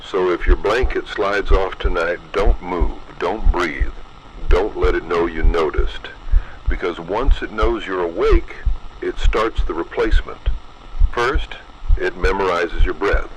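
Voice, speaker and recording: A man reading a scripted horror narration in an ominous, deliberate manner, addressing the listener directly. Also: low-pitched, a little hiss or hum, poor audio.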